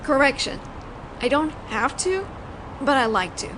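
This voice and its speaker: A woman's voice: high voice, animated, noisy background. A woman voicing a flirtatious, doting mafia boss in an intimate roleplay audio drama, teasing and considerate as she talks to her lover. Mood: gentle, apologetic. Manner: soft-spoken flirting, teasing, pauses as if hearing replies.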